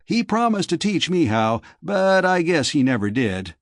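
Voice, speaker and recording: A man's voice: on the high side, big pitch swings, normal speed, no room sound, studio quality, voice pushed up. A man voicing a stubborn, contradicting male exile in a science fiction audiobook. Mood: insistent, wistful. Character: stubborn male exile sure of his idyllic memories.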